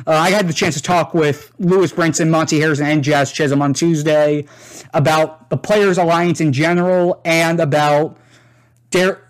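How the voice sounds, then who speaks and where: A man hosting a sports podcast, giving measured, informative commentary. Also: fairly high pitch. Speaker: podcast host and reporter.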